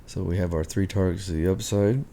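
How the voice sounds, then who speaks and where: A man walking through market charts on a screen share, thinking aloud with many ums and a hoarse voice. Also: sits low, fairly quick, mellow, a little hiss or hum. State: hoarse, clearing his throat. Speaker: market analyst.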